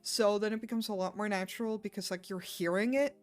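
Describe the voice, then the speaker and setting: A woman's voice: mid-range voice, steady tone, a little fast, darkish tone. A woman, chatting casually on a livestream while she works, laughing often and swearing offhand. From Netherlands. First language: Dutch. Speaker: streamer.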